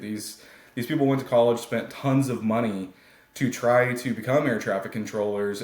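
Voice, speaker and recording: An American man giving informal, explanatory answers as an attorney being interviewed. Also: on the low side. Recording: roomy, echoey sound.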